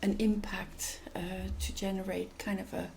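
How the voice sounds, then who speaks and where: A woman's voice: medium pitch, flat delivery, normal speed, creaky, darkish tone, slight background noise. A woman from Denmark, an NGO executive director, answering interview questions thoughtfully with many fillers and restarts.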